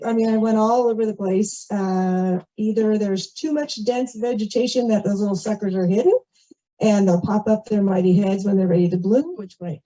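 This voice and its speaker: A woman's voice: medium pitch, dark tone. An American woman giving an informal, chatty and rambling report at a community meeting into a small microphone, in muffled audio. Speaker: committee member and volunteer organizer. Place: community meeting, shared over video call. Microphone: small microphone passed between speakers.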